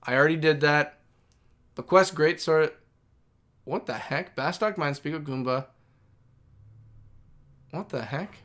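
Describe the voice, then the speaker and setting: A man in his thirties chats casually while he streams a game. His voice sits a little on the high side, and he rambles as he thinks aloud. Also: a little slow, pauses often, fairly bright tone. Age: 30s. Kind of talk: casual livestream chat.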